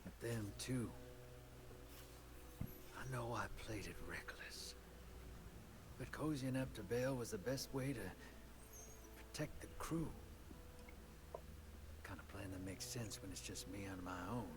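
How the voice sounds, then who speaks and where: An actor playing a wry, folksy rogue crew leader with a soft heart in a video game. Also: male voice, lowish voice, slow, pauses often, background noise. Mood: regretful. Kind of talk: video game cutscene acting. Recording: loud music under the voice.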